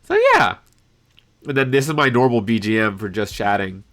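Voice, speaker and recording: A man chatting casually on a live stream in an animated, hesitant way, reading out and answering viewer comments. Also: fairly low pitch, normal speed. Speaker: livestreamer and amateur voice actor. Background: piano background music.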